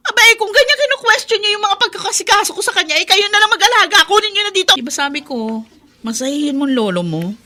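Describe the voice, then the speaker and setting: A high-pitched, overburdened Filipino daughter. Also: female voice, pushed and bright, heavily acted. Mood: defensive, hurt. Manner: pleading, exasperated, emotional. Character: overburdened, devoted, hurt eldest daughter, a mother. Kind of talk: Filipino radio drama acting.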